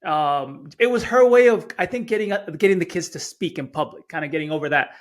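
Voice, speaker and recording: A man's voice: on the high side, lively intonation, fairly quick. Chilean-born man telling a story. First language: Spanish. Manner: earnest, repeats words when starting phrases. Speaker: guest speaker. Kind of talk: storytelling in an interview.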